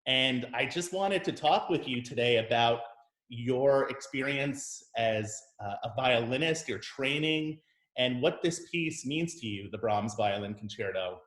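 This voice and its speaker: A man, a conductor, hosting a casual interview in a measured, friendly way with many pauses and fillers. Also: mid-range voice, expressive, fairly bright tone. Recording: roomy echo.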